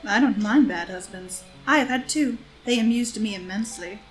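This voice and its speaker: High-voiced, scheming society woman played by an actress. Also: moderate pace, soft background music, natural voice, low-quality recording. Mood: arch, amused. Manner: languid, arch, epigrammatic delivery. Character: scheming, worldly, witty society woman, cynical and coolly seductive. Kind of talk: stage play read as audio drama.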